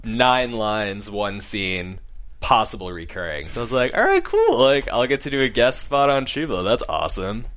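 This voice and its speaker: A young man with a deep voice, a guest actor telling casual stories and acting out quoted lines. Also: normal speed. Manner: relaxed storytelling, acts out quotes.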